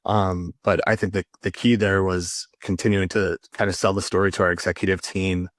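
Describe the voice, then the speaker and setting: A man speaking as a guest in an unscripted panel discussion, explaining his work in a measured way and repeating words as he goes. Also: low-pitched, little pitch movement, no room sound, studio quality. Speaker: customer support leader, panel guest.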